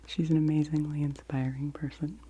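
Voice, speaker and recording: A woman in her forties, whispering gently and unhurriedly as she hosts a soft-spoken ASMR book review. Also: deep voice, little pitch movement, brisk, a little hiss or hum, low-quality recording. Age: 40s. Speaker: ASMR host.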